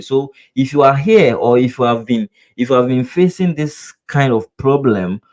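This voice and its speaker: A man's voice: mid-range voice, a little mellow. A man from Ghana with a Ghanaian English accent, teaching trading in a live webinar, repeating himself and asking rhetorical "what?" questions. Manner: earnest, coaching address to viewers. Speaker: trading instructor.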